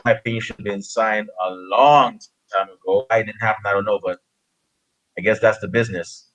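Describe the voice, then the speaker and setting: Halting male interviewer, dull lo-fi sound. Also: fairly low pitch, steady tone, a bit bright. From Guyana. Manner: halting, repeats phrases. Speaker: podcast interviewer. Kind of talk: casual chat.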